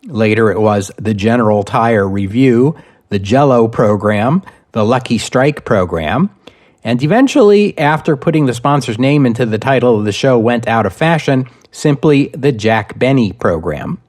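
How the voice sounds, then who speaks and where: A man with a mid-pitched voice narrates history as the host of a podcast. He reads from a script in deliberate, measured phrases. Small pauses set his points apart. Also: lively intonation, darkish tone.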